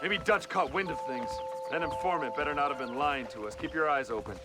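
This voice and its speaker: An actor playing a stern, officious government agent in a video game, curt and threatening. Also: male voice, on the high side, fairly quick, over loud music, lightly acted. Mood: tense, wary. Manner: curt, superior, deferential to his boss. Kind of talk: video game cutscene acting.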